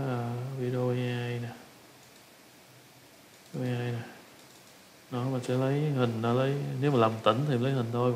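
A man with a Southern Vietnamese accent casually talking through a software tutorial step by step, halting and repeating himself as he improvises. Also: medium pitch, slight background noise, low-quality recording. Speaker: tutorial instructor.

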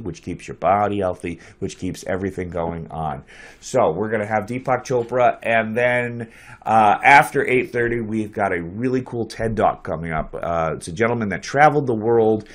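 A man with a cold, talking in a fairly low voice as he hosts a small online broadcast. He chats loosely and jokes with his viewers. He speaks into a desk mic in a small office. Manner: loose, chatty, joking. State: has a cold. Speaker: host. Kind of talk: casual live-stream hosting. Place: small office with a map on the wall. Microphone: headphones with a desk mic.

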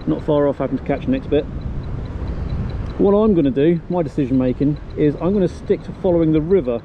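A man with a fairly high voice talks through his decisions while flying a paraglider. His phrases come short and fragmented, as someone thinking aloud. The recording is dull and muffled, with plenty of outdoor noise around him. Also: brisk. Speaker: paraglider pilot and vlogger. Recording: noisy muffled outdoor action-camera audio, wind.

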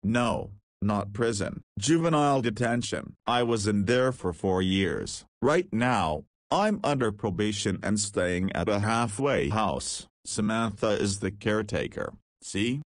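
A man's voice: on the low side, animated, unhurried, hardly any pauses, dry recording, clean studio sound. A man voicing a cheeky, easygoing nineteen-year-old in a visual novel, with casual, slangy speech. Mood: earnest. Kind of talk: visual novel character dialogue.

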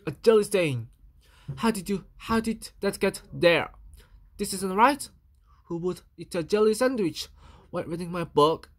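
A woman's voice: lowish voice, lively intonation, a little slow. A woman voicing a fussy, dramatic little boy from a storybook, exclamatory and easily exasperated. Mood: indignant. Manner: exclamatory, indignant.

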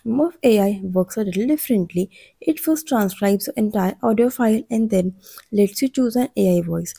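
A woman narrates a scripted explainer in a soft, dark-toned voice with some vocal fry. Her delivery is measured, and the recording is dry and close, with no room sound. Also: mid-range voice, no room sound. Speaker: channel narrator.

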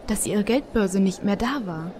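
A woman's voice: medium pitch, expressive, normal speed, dark tone, slight background noise. A woman narrating a scripted story as the storyteller of an audio drama. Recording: faint background ambience.

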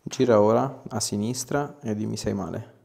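A man's voice: fairly low pitch, moderate pace, creaky, darkish tone. A man, working as a chiropractor and giving a patient short instructions and questions in a small treatment room. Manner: short directive phrases and questions. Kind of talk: calm hands-on clinical instructions to a patient.

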